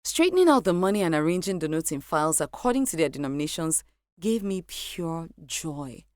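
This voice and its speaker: A young woman with a Nigerian accent narrating a scripted personal story in a lively, animated way. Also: deep voice, fairly quick, no room sound, clean studio sound. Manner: lively, animated delivery with light laughs. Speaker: podcast narrator.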